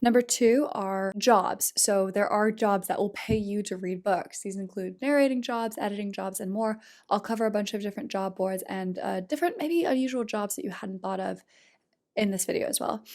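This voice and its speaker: A woman's voice: mid-range voice, normal speed, a little mellow. A woman with a stuffy nose from a bad cold, chatting casually to camera with plenty of "um" and "like". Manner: chatty, upbeat, with fillers like "um" and "like". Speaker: YouTuber. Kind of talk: casual vlog explainer.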